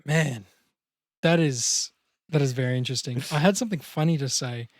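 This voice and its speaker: A man's voice: medium pitch, moderate pace, dry recording. Casual bantering man, close dry sound. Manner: informal, bantering, slangy. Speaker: podcast co-host. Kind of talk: casual podcast chat.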